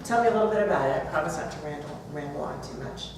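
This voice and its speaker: Low-voiced woman speaking in an echoey room. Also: racing, vocal fry. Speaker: author reading at a bookstore event. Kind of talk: live author talk and story reading. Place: bookstore, audience event. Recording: roomy, distant, noisy live event recording.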